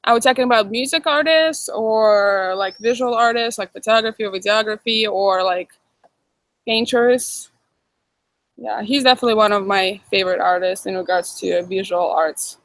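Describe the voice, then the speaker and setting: A woman's voice: medium pitch, moderate pace, fairly bright tone, dry recording. A young woman from Russia with a Russian accent, hosting a casual livestream Q&A and chatting as she answers viewer comments. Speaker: livestream host. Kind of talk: casual live chat Q&A.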